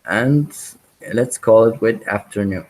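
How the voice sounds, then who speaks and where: A man teaching a programming webinar, walking through live code with many pauses, ums and restarts. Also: fairly low pitch, poor audio. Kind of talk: live coding walkthrough.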